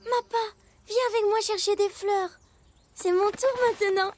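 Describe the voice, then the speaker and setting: A woman playing a tiny, curious, excitable little girl animal in a children's cartoon, with childlike delivery. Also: extremely high pitch, measured pace, slight background noise. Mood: whiny, excited. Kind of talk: French children's cartoon dub.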